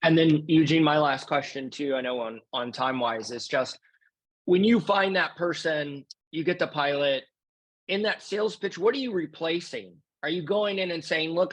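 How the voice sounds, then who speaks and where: A man's voice: on the high side, a bit bright, low-quality recording. A man on an investor panel asking a founder probing questions in a casual, conversational way. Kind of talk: questioning a pitch.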